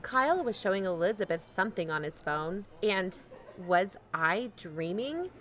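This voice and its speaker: A woman's voice: mid-range voice, expressive, a little slow, fairly bright tone. An actress playing a wary, candid young woman who narrates and talks in an audio drama in a conversational way. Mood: wry, curious. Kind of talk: first-person audio drama narration and dialogue.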